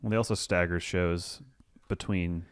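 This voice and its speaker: A man's voice: low-pitched, fairly even intonation, normal speed, smooth. A man co-hosting a podcast in easygoing, self-deprecating casual chat. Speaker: podcast co-host.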